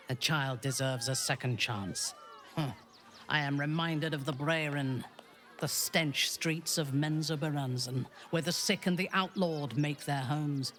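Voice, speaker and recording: A woman's voice: low-pitched, fairly bright tone, slight background noise. A woman playing a cold, haughty, ruthless drow warrior in a video game, speaking in an imperious, clipped manner. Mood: disdainful. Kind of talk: video game character dialogue.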